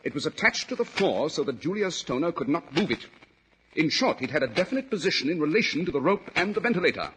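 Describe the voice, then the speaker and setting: An actor playing a shrewd, composed British gentleman detective, crisp and commanding, in a 1940s radio drama. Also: a little high, big pitch swings, fast. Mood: probing. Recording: noisy old radio recording with clicks.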